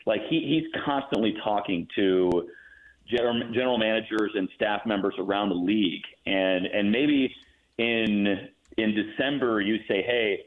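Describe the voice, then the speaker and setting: Low-voiced man on a phone-like line. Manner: halting, repeats words as he thinks aloud. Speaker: radio play-by-play announcer, show guest. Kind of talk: casual sports radio interview.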